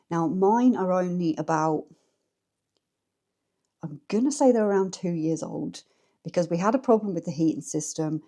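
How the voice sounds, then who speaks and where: A woman's voice: deep voice, mellow. A woman with an English accent chatting frankly to camera from her living room at home, talking straight to her viewers. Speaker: vlogger. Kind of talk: casual chat to camera. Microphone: camera set up in the room.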